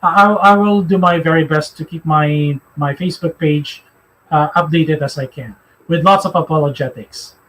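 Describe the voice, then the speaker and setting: A man with a Filipino accent and a high-pitched voice leads a live religious discussion. He talks haltingly, circling back over his phrases as he explains and takes questions. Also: fairly bright tone, rough recording. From Philippines. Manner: halting, repeats phrases as he goes.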